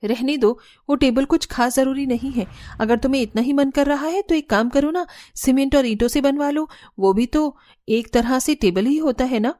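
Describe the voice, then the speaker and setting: A woman's voice: sits high, normal speed, mellow, no room sound, lightly acted. A woman voicing a firm but caring young daughter-in-law who reasons earnestly in a cartoon story. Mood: firm refusal. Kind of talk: Hindi cartoon story dialogue.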